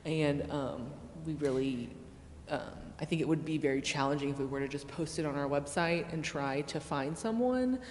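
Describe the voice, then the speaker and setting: An American woman giving frank, measured explanatory remarks as a staff member at a public meeting. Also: low voice, darkish tone. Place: indoor council chamber. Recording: reverberant meeting chamber with background noise.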